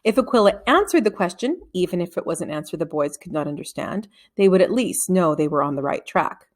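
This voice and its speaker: A woman's voice: on the low side, fairly quick. Woman reading a children's novel expressively. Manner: expressive reading, acting out dialogue. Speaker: read-aloud narrator of a children's novel. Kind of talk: scripted storybook narration.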